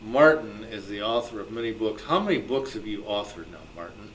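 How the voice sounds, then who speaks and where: A man moderating an informal live interview, haltingly rephrasing his questions as he goes. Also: on the low side, expressive. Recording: distant mic, noisy meeting room.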